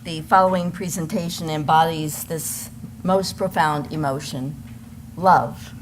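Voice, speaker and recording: A woman with a low-pitched voice introduces a presentation at a service. Her delivery is formal and scripted, and clear room noise runs behind her. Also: fairly even intonation, unhurried, low-quality recording.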